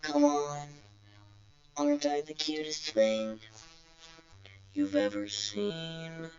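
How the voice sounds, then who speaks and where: A performer doing a fan-made impression of a cutesy, eerie caution robot from a video game, in a drawn-out, theatrical sing-song delivery. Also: mid-range voice, sing-song, creaky, exaggerated voice. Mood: coy, menacing. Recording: dull lo-fi audio, loud hum.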